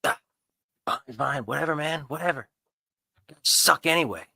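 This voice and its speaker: A man's voice: fairly high pitch, normal speed, gravelly, pushed and bright, dry recording, clean studio sound. A man playing a tabletop game, improvising actions and lines in muttered, broken phrases. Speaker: player. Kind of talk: improvised first-person roleplay.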